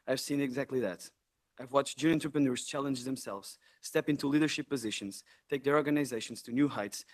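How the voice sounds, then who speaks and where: A young man from Portugal, the incoming president of a student network, giving an earnest, measured formal speech in a large hall. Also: medium pitch. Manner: earnest, measured, occasional self-corrections.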